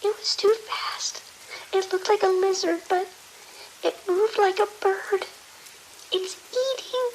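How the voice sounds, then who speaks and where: An actress playing a terrified, trapped female doctor in a horror audio drama, halting, pleading and panicked. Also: very high voice, exaggerated voice, rough recording. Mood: hushed terror. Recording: faint hiss and clicks.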